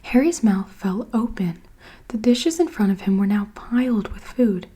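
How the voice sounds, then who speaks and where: A woman reading a storybook aloud in a soft whisper. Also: mid-range voice, animated, moderate pace, husky. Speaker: reader.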